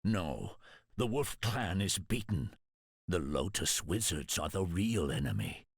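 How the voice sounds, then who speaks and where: An actor playing a loyal, stern, stubborn warrior in a video game, husky and emphatic in a measured delivery. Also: male voice, low voice, big pitch swings, dry recording, clean studio sound, heavily acted. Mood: defiant, firm. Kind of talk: video game cutscene acting.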